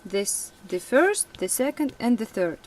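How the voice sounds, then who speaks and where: A young woman whose first language is Russian, chatting casually in a vlog, laughing often and pausing with fillers. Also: fairly high pitch, very animated, a little mellow. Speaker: vlogger.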